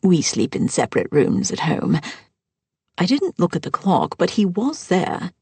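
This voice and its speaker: A woman voicing a gracious older mother-in-law who speaks formally and softly, at times near a whisper. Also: on the low side, big pitch swings, unhurried, husky, no echo, heavily acted. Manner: formal and soft-spoken.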